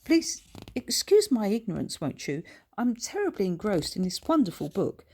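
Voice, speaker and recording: A woman's voice: mid-range voice, huge pitch swings, moderate pace, mellow, breathy edge, pitched above the performer's own voice, heavily acted. A woman voicing a bookish young boy with formal, over-polite, precise speech in a children's storybook. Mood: earnest, polite.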